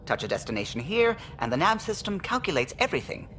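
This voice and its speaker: A man's voice: a little high, big pitch swings, fast, a bit bright, soft background music. An actor playing an eager, proud male alien starship pilot in a video game. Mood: proud, enthusiastic. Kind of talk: video game cutscene acting.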